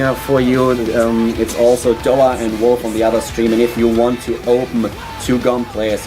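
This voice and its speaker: A man from Germany with a German accent, casting an esports stream on his own in a small studio, chatty with many fillers and restarts. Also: medium pitch. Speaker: esports caster. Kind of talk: solo esports commentary.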